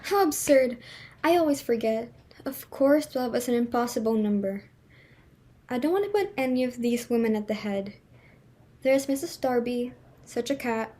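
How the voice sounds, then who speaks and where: A woman's voice: fairly high pitch, moderate pace, mellow, natural voice. A woman playing a fussy, snobbish middle-aged society hostess and mother in a play reading, with a theatrical, exclamatory, fretful manner. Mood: harried, exasperated.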